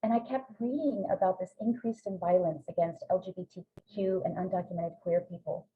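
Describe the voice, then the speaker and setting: A woman's voice: lowish voice, fairly even intonation, brisk, breathy edge. Woman presenting over a tinny line. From Greek American family. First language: Greek. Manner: earnest, frequent ums. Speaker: presenting researcher. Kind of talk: academic webinar presentation.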